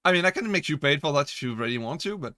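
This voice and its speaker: A young man from France speaks with a French accent and a bright, slightly high voice. He chats loosely with his live-stream chat, often laughing and stumbling over restarts as he jokes. The sound is dry and close. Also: lively intonation, rapid-fire, no echo. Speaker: VTuber streamer.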